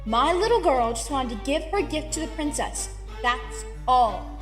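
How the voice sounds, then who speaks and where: A woman's voice: sits high, lively intonation, unhurried. A woman voicing a polite, protective mother unicorn, earnest and firm, in a cartoon fan drama. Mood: firm, restrained. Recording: long reverb with music behind.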